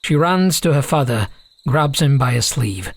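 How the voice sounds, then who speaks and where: A man's voice: medium pitch, lively intonation, unhurried, husky. A man reading a scripted fantasy story as an audiobook narrator.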